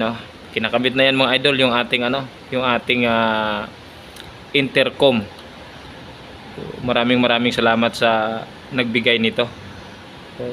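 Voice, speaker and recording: A man's voice: mid-range voice, bright, forceful tone. Filipino man vlogging casually with background noise. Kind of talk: casual vlog chat to followers.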